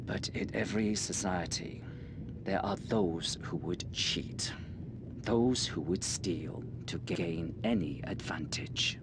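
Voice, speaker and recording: An actor playing a guarded middle-aged intelligence colonel in a video game, with a formal, measured delivery. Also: male voice, low-pitched, noisy background. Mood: grave, persuasive. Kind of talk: dramatic video game dialogue.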